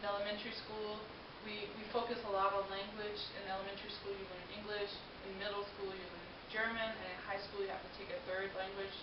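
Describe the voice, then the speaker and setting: A woman's voice: mid-range voice, little pitch movement, fast talker, few pauses. A young adult woman from Denmark, a native Danish speaker, giving a prepared informative presentation to an audience. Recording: distant mic, roomy echo, muffled, hissy noise.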